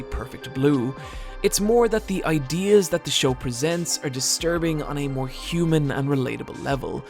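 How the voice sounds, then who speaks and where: Guy doing a scripted video essay, kinda gravelly, dry wry asides, low music behind. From Britain. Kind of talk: scripted narration. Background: soft background music.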